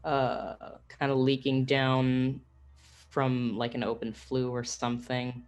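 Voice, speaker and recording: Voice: mid-range voice, completely flat delivery, takes it slowly, clean tone. A player in a tabletop roleplaying game, casually putting questions about the scene.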